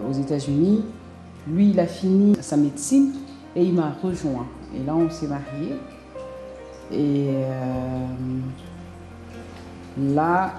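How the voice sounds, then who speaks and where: A woman from Congo in her forties, answering interview questions in a halting way with many fillers and restarts. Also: low-pitched, warm tone. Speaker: interviewee, hair clinic co-founder. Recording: roomy echo.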